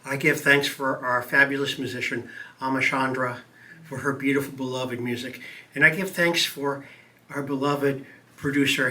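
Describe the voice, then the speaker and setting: Man leading church prayer; bright tone, a bit off the mic, earnest and measured. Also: medium pitch, recorded from a distance. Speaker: practitioner and guest speaker. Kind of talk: spoken prayer. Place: church meeting room.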